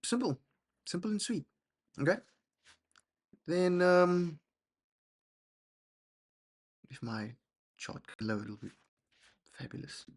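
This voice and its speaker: A young man, a husky voice and a rambling, self-deprecating manner, walking through trades and often checking in with "okay?". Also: sits high, very animated, unhurried, pauses often. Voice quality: gravelly, husky. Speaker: trading channel host. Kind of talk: casual screen-share trading walkthrough.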